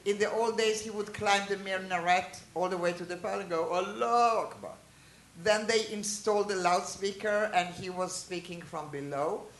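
Israeli-born lecturer, native Hebrew and Hungarian, lively question-asking teaching style, roomy echo. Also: medium pitch, moderate pace. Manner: chatty, asks the listeners questions, animated asides. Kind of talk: informal lecture to a small group.

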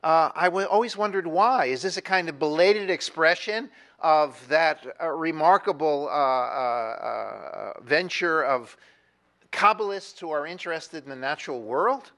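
A man's voice: fairly high pitch, expressive, measured pace, a bit bright. Rambling middle-aged male history lecturer. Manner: discursive, many fillers and restarts, asks the audience questions. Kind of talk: university lecture. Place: lecture hall. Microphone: moves away from the microphone at times.

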